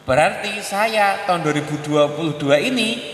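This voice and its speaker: Bright, echoey joking preacher. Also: male voice, sits high, normal speed. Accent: Javanese. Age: 30s. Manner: jokey, mixes languages, acts out audience questions. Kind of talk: Islamic sermon. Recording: echoey hall recording with hum.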